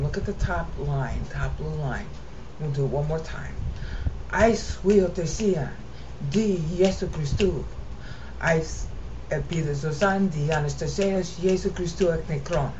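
A woman teaching informally, explaining and chanting Greek verse in a drawn-out sing-song way. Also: low voice. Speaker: amateur Bible teacher. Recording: muffled lo-fi recording with background noise.

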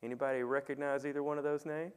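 A middle-aged man preaching a sermon as pastor in a church sanctuary on a headset mic, talking with the congregation and asking them questions, and praying quietly. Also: mid-range voice, level tone, fast talker, clear, resonant tone. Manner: conversational, asks the congregation questions; soft, quiet when praying. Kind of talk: sermon with opening prayer. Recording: long reverb of a church hall.

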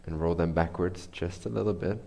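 Deep voiced guy, teaching a guided meditation, takes his time, pauses to find words. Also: fairly even intonation, creaky, mellow. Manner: unhurried, searching for words.

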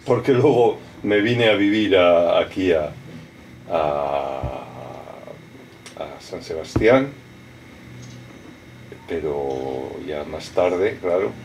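A middle-aged man whose first language is French, answering interview questions in a halting way, with many restarts and fillers. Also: low voice, darkish tone. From raised in France. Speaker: artist. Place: cluttered studio with computer and speakers. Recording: distant mic, audible room noise.

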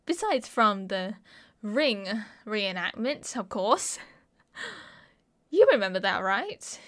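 A young woman with an Australian accent playing an excitable, mischievous college friend in a casual audio roleplay. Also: mid-range voice, slow pace. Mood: mischievous, reminiscing. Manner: playful, giggly, slangy. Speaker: roleplay voice performer. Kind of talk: ASMR roleplay acting, casual chat to a friend.

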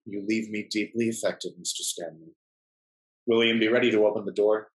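An actor playing a falsely courteous, pompous English gentleman in a period stage comedy, speaking formally and unctuously. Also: on the low side, steady tone, a little fast, close to an everyday voice, low-quality recording. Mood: unctuous, regretful. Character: smooth hypocritical English gentleman, falsely courteous, secretly grasping. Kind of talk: theatrical period comedy acting. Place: at home on a video call.

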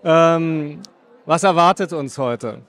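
A man's voice: fairly high pitch, takes it slowly, fairly bright tone. A middle-aged man hosting a film interview in a chatty, effusive way on a handheld microphone in a cinema foyer. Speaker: interviewer and host. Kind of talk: red-carpet film interview.

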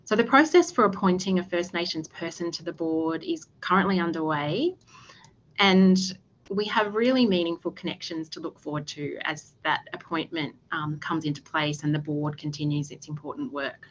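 A woman in her thirties with an Australian accent, giving earnest, measured panel remarks over a video call, with occasional fillers. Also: deep voice, dull audio, slight background noise. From Australia, Western Sydney. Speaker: panelist, government official. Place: indoor office. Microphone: video call webcam.

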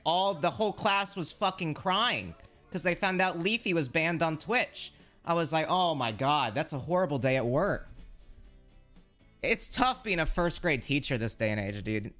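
A man telling a casual story on a livestream, animated and joking. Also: on the high side, normal speed, faint music behind. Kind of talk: casual stream chat.

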